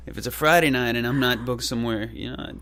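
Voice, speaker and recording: A man with a Texas accent talks as a guest on a radio show, relaxed and joking. His voice sits at a middle pitch. He speaks in an easygoing, conversational way. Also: fast. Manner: laid-back, easygoing, laughs often. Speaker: guest musician. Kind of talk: casual radio interview. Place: radio studio.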